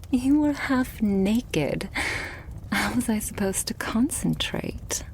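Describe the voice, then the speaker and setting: A woman playing a loving, possessive vampire girlfriend in an intimate ASMR roleplay, murmuring softly and breathily. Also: a little high, animated, heavily acted. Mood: playful, teasing. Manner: soft, breathy, slow murmuring that often drops to whispers.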